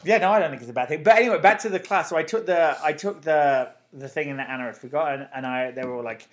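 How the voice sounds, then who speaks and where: A man's voice: fairly high pitch, quick pace. A man in his thirties from England, with an English accent touched by American, co-hosting a casual podcast chat, teasing and laughing often. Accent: English, with some American influence. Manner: teasing, laughs often, acts out voices in stories. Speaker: podcast co-host.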